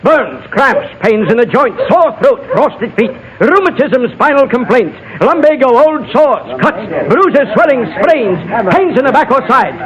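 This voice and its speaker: Old radio western snake oil salesman, really high voice, flowery hawking, crowd around him. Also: very animated, unhurried, few pauses, dull audio, heavily acted. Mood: grandiose, hawking. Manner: florid, theatrical sales pitch. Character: fast-patter frontier medicine-show salesman, grandiose huckster. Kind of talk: old-time radio drama acting. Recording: vintage radio drama, crowd behind.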